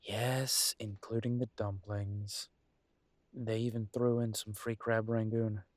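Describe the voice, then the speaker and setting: A man's voice: fairly low pitch, flat delivery, takes it slowly, no room sound. A man voicing a grumbling but affectionate werewolf detective in an intimate audio roleplay, wry and fondly teasing. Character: gruff, affectionate male werewolf detective, grumbling city dweller.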